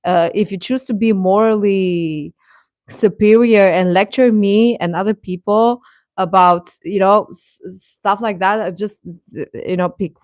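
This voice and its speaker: A woman hosting a casual, opinionated live chat, speaking haltingly and defensively with repeated words. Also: medium pitch, fairly even intonation, a little mellow, no echo, clean studio sound. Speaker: livestream host and commentator.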